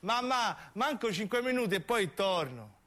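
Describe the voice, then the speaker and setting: A man's voice: high voice, sing-song, measured pace, fairly bright tone, pitched above the performer's own voice, exaggerated voice. A man playing an exasperated young son who argues with his parents, with a southern Italian accent, in a radio play. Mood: fed up.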